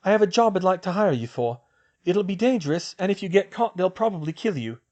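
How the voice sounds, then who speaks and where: This is a man reading a clever, determined teenage witch, decisive and organized. The voice sits high for a man and moves lively up and down. She sounds fast and sure of her plans. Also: lo-fi sound. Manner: brisk and decisive. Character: sharp, determined teen witch, organized planner.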